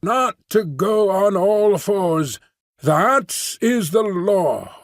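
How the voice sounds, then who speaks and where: A man voicing a hunched male beast-man with a thick voice and a whistling overtone, intoning slowly in a sing-song. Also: high voice, big pitch swings, no room sound, studio quality, pitched above the performer's own voice, heavily acted. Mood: solemn.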